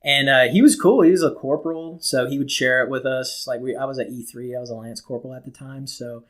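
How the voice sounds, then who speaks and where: A man's voice: mid-range voice, fast talker, fairly bright tone. Casual, rambling man telling stories. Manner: informal, rambling, mildly profane. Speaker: talk show co-host telling personal stories. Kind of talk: casual chat, anecdotes.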